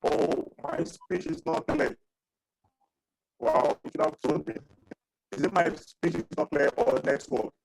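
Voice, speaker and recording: A man in his thirties teaching an accounting class over a video call, repeating himself and pausing often. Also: fairly high pitch, huge pitch swings, gravelly, no room sound, low-quality recording. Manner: repetitive, halting, asks for questions. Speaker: accounting lecturer. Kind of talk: online class lecture. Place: small indoor room. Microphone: webcam video call with earphones.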